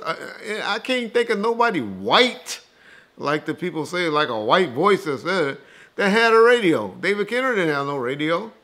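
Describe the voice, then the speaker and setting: A man's voice: a little high, a bit bright. A man talking casually and bluntly as an interview guest, dismissive and emphatic, swearing freely and repeating himself to drive points home. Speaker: interview guest rebutting rumors. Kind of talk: casual, profane opinionated talk.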